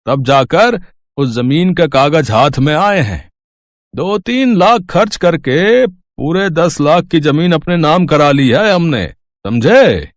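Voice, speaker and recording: Man acting a bossy, scheming landlord, widely swinging pitch. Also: big pitch swings, takes it slowly, dry recording, studio quality. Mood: boastful, forceful. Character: domineering, scheming village landlord father, gruff and crafty. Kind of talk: animated moral story voice acting.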